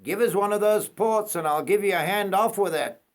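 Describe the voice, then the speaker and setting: A man's voice: high voice, moderate pace, voice pushed up. A man voicing a friendly, blunt train conductor in a storybook reading, with matey colloquial talk. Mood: hearty, friendly.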